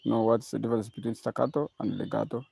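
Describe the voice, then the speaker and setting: A man's voice: lowish voice, steady tone, fast, gravelly, warm tone, a little hiss or hum. A man from Kenya hosting a live-streamed music lesson, repeating his question and coaxing viewers to answer.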